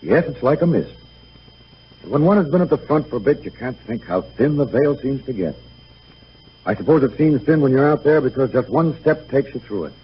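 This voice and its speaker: An actor playing a young dead soldier son in a 1930s radio drama, breezy and gentle, speaking in a boyish, coaxing, informal way. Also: male voice, medium pitch, lively intonation, a little fast, close to an everyday voice. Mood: calm, reflective. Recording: muffled, noisy 1938 radio broadcast.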